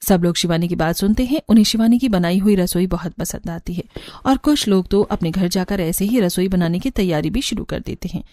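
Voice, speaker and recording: A woman's voice: on the low side, brisk, few pauses, dark tone, dry recording. A woman narrator reading a scripted story for a cartoon.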